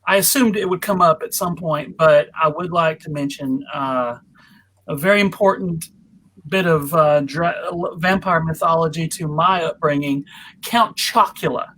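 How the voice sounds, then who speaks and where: A man's voice: a little high, normal speed, pushed and bright, slight background noise, poor audio. A man hosting a casual panel discussion over a video call in a chatty, enthusiastic, informal way. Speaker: panel host and moderator.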